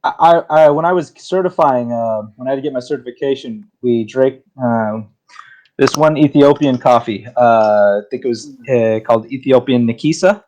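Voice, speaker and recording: A man in a casual online chat, relaxed and hesitant, with many fillers. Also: mid-range voice, normal speed, a little hiss or hum, rough recording. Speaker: guest.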